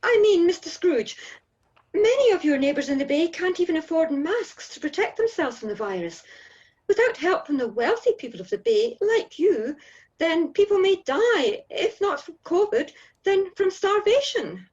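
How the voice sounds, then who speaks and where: A woman plays an earnest young female charity collector in a radio drama. The voice is extremely high and very expressive in pitch. Manner is polite, hesitant and pleading, on a slightly muffled recording. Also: moderate pace. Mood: flustered pleading.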